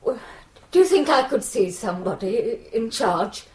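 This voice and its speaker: A woman's voice: a little high, animated, a little slow, a little mellow. An actress playing a worried, politely insistent middle-aged English widow and mother in a radio drama. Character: anxious widowed mother of a missing grown daughter.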